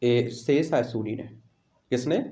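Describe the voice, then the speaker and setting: A man's voice: mid-range voice, slow pace, mellow, roomy sound. An Indian man teaching an online exam lesson in question-and-answer style, repeating questions and answers in an instructional way.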